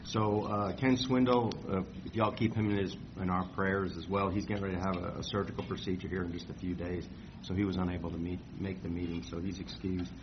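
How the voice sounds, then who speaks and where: A man chairing a formal meeting in a slow, measured, courteous way. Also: low-pitched, flat delivery. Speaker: committee chair. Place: meeting room. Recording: muffled meeting recording with hiss.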